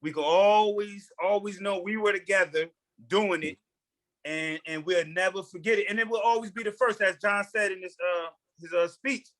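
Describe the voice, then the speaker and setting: A man's voice: sits high, moderate pace, fairly bright tone. A middle-aged man reminiscing casually in an informal, colloquial way. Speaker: former college athlete.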